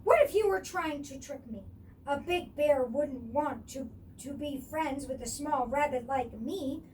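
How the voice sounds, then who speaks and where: A woman's voice: sits high, measured pace, darkish tone, distant mic, slight background noise, rough recording. A woman voicing a small, timid, suspicious male rabbit from a storybook in a wary, doubting manner. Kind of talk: storybook character voice.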